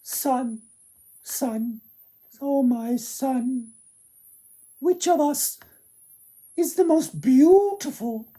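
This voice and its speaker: Man putting on high-pitched vain sisters, chanting. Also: warm tone, heavily acted. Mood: pleading, vain. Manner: chanting, drawn-out pleading. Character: two vain, mean older sisters pleading to the sun.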